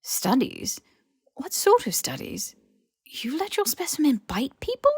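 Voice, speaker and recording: A woman's voice: high-pitched, huge pitch swings, measured pace, dry recording, studio quality, pitched above the performer's own voice, exaggerated voice. A woman voicing an eager, bookish female official, earnest and easily indignant, in an audiobook. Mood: startled indignation.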